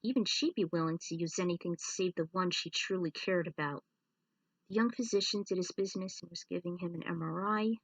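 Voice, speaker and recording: A woman's voice: lowish voice, brisk, dry recording, recorded from a distance, low-quality recording. A woman reading a scripted story as its narrator, stumbling over the odd word. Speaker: narrator reading own fan fiction.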